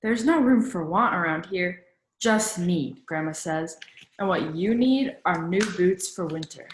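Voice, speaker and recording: A woman's voice: on the low side, animated, slight background noise. Woman reading a storybook aloud, roomy echo. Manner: instructive, pausing to prompt listeners with questions. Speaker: children's read-aloud teacher. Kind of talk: storybook read-aloud with questions to young listeners.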